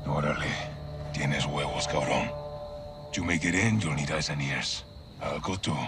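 An actor playing a tough, wry Mexican special forces officer in a video game, with a Mexican accent. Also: male voice, rumbling low, normal speed, music in the background. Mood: respectful, resolute.

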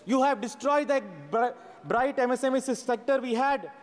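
A man's voice: very high voice, normal speed. A man in his forties giving a sarcastic budget speech in a large parliament chamber, reading from notes into a desk microphone. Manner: sarcastic, reads from notes with hand gestures. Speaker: member of parliament. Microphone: fixed desk microphone. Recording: long chamber reverb.

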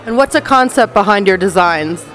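A woman's voice: mid-range voice, expressive, normal speed, a bit bright, faint music behind. A woman working as a TV interviewer, putting short questions to guests. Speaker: TV reporter, interviewer. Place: indoor art fair. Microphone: handheld interview mic.

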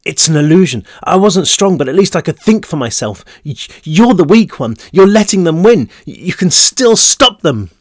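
A man's voice: a little high, very animated, normal speed, dry recording, clean studio sound. A man voicing a frail, frightened boy in an audiobook, pleading yet defiant in short urgent bursts. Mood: desperate, defiant. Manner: short, sharp, stammered bursts.

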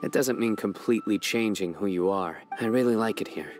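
An actor playing a calm, serious young warrior judge in a video game, speaking in a measured, earnest, reserved way over music. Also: male voice, on the low side, lightly acted. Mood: calm, reflective. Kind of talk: scripted video game character dialogue. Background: background game music.

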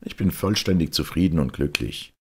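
A man voicing a calm, contented old husband in a fairy tale, speaking firmly. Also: rumbling low, moderate pace, husky, voice pushed up, heavily acted.

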